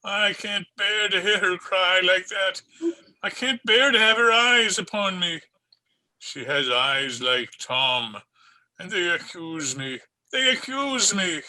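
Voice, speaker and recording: A man's voice: high-pitched, animated, slow pace, sharp tone, full character voice, poor audio. A man playing an anguished, panicked police sergeant uncle in an old time radio drama, frantic and pleading, repeating himself, recorded over a video call.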